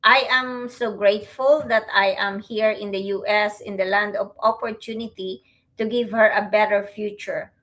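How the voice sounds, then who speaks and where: A middle-aged woman with a Filipino accent hosting a livestream, talking to viewers in a reflective, halting way. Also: mid-range voice, steady tone, fairly bright tone. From Philippines. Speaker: host, online business owner.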